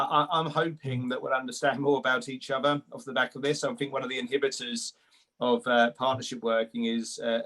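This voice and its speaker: A man talks off the cuff as a panellist in a mid-pitched voice. His intonation stays quite flat, and he proceeds haltingly, repeating words as he works out each thought. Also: poor audio. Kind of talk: unscripted panel remarks.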